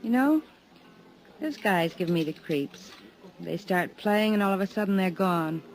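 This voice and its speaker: A woman's voice: medium pitch, animated, a little slow, rough recording. Moody bar girl, languid and confiding, faint music behind. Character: moody young woman at a bar. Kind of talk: 1950s radio drama acting.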